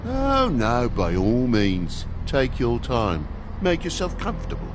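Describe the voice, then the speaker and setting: A man's voice: mid-range voice, huge pitch swings, slow, noisy background. An actor playing an exasperated, sarcastic male toad who gripes through a video game drama. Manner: sarcastic, griping.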